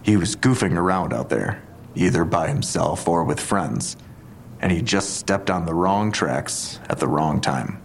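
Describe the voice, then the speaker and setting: Very deep, measured male detective. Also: expressive, vocal fry, a little hiss or hum, heavily acted. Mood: musing. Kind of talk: audio drama acting.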